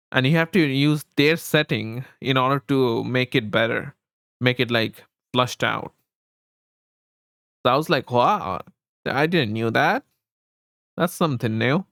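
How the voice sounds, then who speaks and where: Guy chatting on stream, slow n halting, repeats himself, close dry mic. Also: mid-range voice, gravelly, a bit bright, no room sound. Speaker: live streamer and host. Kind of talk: casual livestream chat with viewers. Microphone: close streaming microphone.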